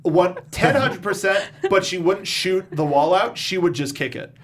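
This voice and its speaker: Male panel host, slightly high voice, jokey and slangy, casual review-show chat. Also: expressive, moderate pace.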